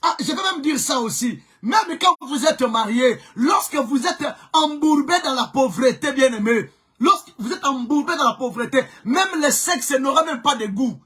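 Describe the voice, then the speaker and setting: A man preaching a sermon with a Congolese French accent, whose first language is Chokwe. He preaches fervently, often shouting and repeating phrases for emphasis. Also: very high-pitched, animated, normal speed, husky. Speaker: pastor. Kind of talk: live-streamed preaching sermon.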